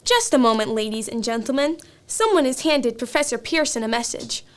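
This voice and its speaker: A woman's voice: on the high side, lively intonation, a little fast, close to an everyday voice. A woman playing a courteous, eager radio commentator in a radio play, announcing formally to listeners. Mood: formal, urgent.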